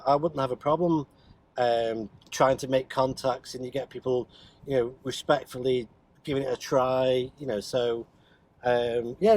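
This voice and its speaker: A man's voice: medium pitch, darkish tone. A man chatting casually and unscripted as he demonstrates radio gear, hesitant and thinking aloud as he goes. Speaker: radio hobbyist vlogger demonstrating CB radio. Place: inside a car. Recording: faint vehicle noise behind the voice.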